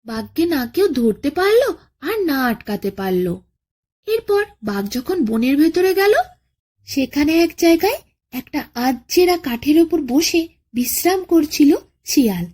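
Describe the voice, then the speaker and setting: A woman tells a scripted children's folk tale in a high voice. Her pitch swings widely as she narrates, with an expressive, dramatic bedtime-story delivery. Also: measured pace.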